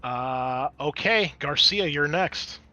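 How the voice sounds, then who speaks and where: Bright-voiced man running a tabletop game. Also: a little high, lively intonation, slow, faint music behind. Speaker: game master. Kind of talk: explaining tabletop game rules casually.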